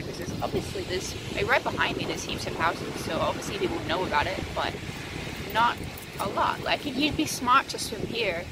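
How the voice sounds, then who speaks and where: Young Australian woman, chatty rambling vlog, mid-pitched voice, phone mic, heavy wind roar. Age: young adult. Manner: chatty, rambling, swears casually. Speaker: vlogger. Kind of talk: casual vlog chat. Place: in a car and outdoors on a beach. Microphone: phone camera at arm's length.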